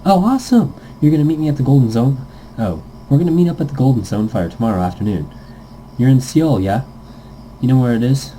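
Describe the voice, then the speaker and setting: A man's voice: medium pitch, expressive, a little fast, dark tone, slight background noise, natural voice, poor audio. A man playing an eager, casual young gamer. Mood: eager, friendly. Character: eager young male foreign gamer, casual and nervous recruiter.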